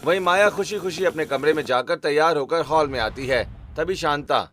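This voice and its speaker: A man's voice: fairly high pitch, a little fast, fairly bright tone. A man narrating a scripted cartoon story as its storyteller. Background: background music.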